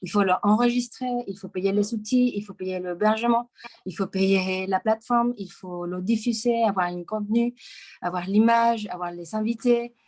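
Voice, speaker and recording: A woman from Mexico, a native Spanish speaker with a Mexican accent, giving a casual personal account and halting to search for words. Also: mid-range voice, fairly even intonation, darkish tone. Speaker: podcaster taking part as webinar attendee.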